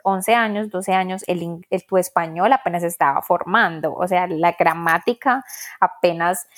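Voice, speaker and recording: A woman's voice: on the low side, moderate pace. A woman co-hosting a podcast, chatting casually and cheering on her partner. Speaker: podcast co-host.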